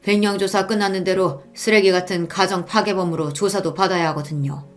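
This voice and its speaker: A woman's voice: on the low side, steady tone, brisk, faint music behind, natural voice. A woman voicing a veteran prosecutor in a story, polite, controlled and sardonically menacing. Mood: cool sarcasm. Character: coolly menacing prosecutor, the father's old friend.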